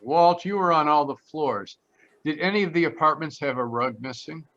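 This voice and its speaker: A man's voice: a little high, normal speed, a bit bright. A man playing a confident, wry private detective in an old-time radio drama, speaking in a clipped, assured way. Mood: assured, deducing. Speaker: actor. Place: live online video call. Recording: slightly dull video-call audio.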